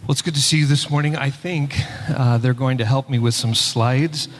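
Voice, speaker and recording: A man, a pastor and church superintendent, presenting a conference paper in a relaxed way with many filler words. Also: medium pitch, moderate pace. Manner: relaxed, speaks with frequent "uh" fillers. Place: conference hall. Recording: long hall reverb.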